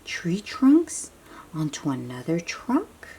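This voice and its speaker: Slow, husky woman reading to young children. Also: low-pitched, huge pitch swings, mellow. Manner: childlike-simple phrasing, asks the listener questions and affirms answers. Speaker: children's picture book read-aloud host. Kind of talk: read-aloud with simple explanations for young children.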